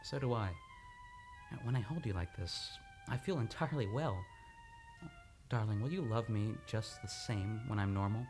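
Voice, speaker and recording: A man playing a troubled, guilt-ridden amnesiac in an old-time radio play, halting and impassioned, by turns romantic and petulant. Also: on the low side, music in the background, lightly acted. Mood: amorous. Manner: halting, impassioned, swinging between teasing and anguish.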